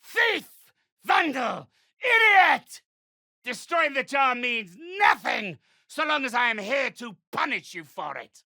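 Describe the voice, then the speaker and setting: An actor playing an imperious, wrathful undead necromancer in a video game, with a theatrical, menacing, commanding delivery. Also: male voice, extremely high pitch, big pitch swings, slow pace, creaky, pushed and bright, no room sound, shouting, clean studio sound, full character voice. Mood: furious. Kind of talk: video game character acting.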